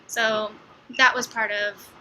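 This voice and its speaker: A woman with an American accent and a very bright, forward voice at an average pitch. She talks informally to her viewers like a vlogger, explaining her points through everyday examples. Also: flat delivery, slow pace, a little hiss or hum, rough recording. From United States (Wichita, Kansas). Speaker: vlogger, expat presenter. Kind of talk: informal explanatory talk to camera.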